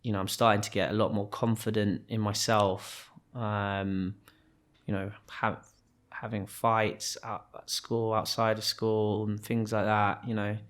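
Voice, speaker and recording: A man's voice: on the low side, flat delivery, a little slow, fairly bright tone. A young man from Kenya, a podcast guest, telling his story in a reflective, rambling way with frequent restarts and fillers.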